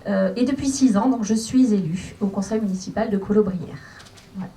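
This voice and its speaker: A woman candidate introducing herself at a public meeting, halting with frequent fillers. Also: medium pitch, mellow. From France. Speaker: municipal list candidate. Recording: distant mic in a room with faint noise.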